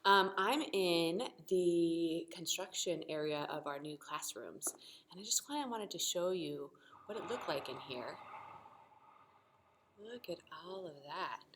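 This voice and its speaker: A woman teacher reading a storybook expressively to children, pausing to explain simply and ask questions. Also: fairly low pitch, noisy background. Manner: animated, asks the listeners questions.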